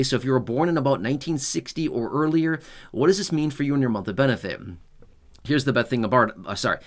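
A man in his forties hosting a talking-head explainer vlog from a small home studio, chatty and reassuring, often tagging sentences with "okay?" and "right?". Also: mid-range voice. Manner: conversational, keeps checking in with "okay?" and "right?"; repetitive, reassuring, animated delivery. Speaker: YouTube channel host. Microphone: fixed camera at chest-up framing.

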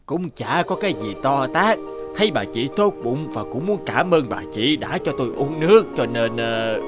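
An actor voicing a vain, spiteful middle-aged feng shui master in a cartoon folk tale, theatrical and grumbling. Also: male voice, mid-range voice, animated, normal speed, close to an everyday voice. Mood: falsely polite. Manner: theatrical, muttering complaints, falsely polite. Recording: music behind the voice.

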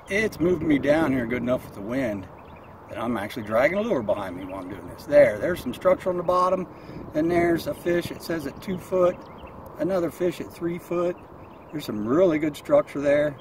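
Middle-aged American man, noisy outdoor recording. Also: on the high side. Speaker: kayak angler reviewing gear. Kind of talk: casual product review vlog. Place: mostly outdoors in a kayak on open water.

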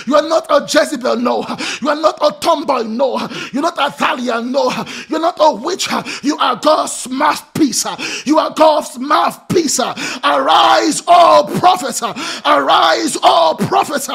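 A man in his thirties preaching over a video call from a small room at home, hoarse from losing his voice, shouting in a chanting cadence with a grunted "uh" between phrases and breaking into tongues. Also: extremely high pitch, measured pace, hardly any pauses. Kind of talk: charismatic sermon with shouting and speaking in tongues. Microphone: webcam in front of him, video call.

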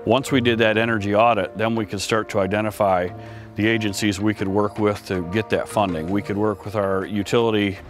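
A man's voice: fairly low pitch, level tone, fairly quick, faint music behind. A man who farms, giving a testimonial in an interview. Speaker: farmer.

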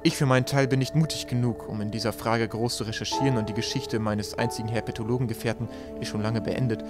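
A man's voice: mid-range voice, steady tone, fast, music in the background. A man reading a storybook aloud as scripted narration. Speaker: audiobook reader.

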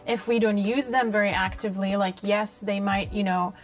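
A woman's voice: medium pitch, fairly even intonation, moderate pace, soft background music. A woman in her late twenties with a Czech accent, a native Czech speaker, talking informally and reflectively as an interview guest. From Czech Republic, small town. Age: late 20s. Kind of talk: casual conversational interview. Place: small bright living-room style set, indoors.

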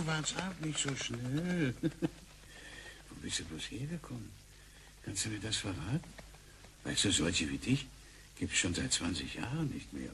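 An actor playing a gentle, curious old grandfather in a sci-fi radio drama, murmuring haltingly and repeating his words. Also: medium pitch, a little hiss or hum, heavily acted. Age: older.

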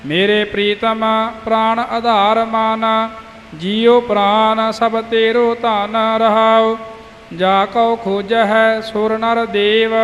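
A man reciting Sikh scripture as a student, in measured, half-sung phrases. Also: high voice, a bit bright. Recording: long hall reverb, music.

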